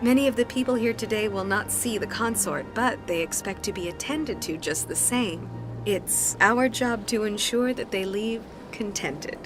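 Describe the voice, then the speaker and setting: A woman voicing a courteous, poised alien acolyte who receives clients in a video game, polite, soothing and measured. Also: mid-range voice, lightly acted. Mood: serene, inviting. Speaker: receptionist acolyte. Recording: game music behind the voice.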